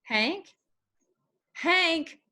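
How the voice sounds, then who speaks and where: A woman's voice: extremely high pitch, lively intonation, drawn-out words, bright, forceful tone, exaggerated voice. A woman acting a spirited young female band musician in a screenplay table read, speaking casually and expressively. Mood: indignant.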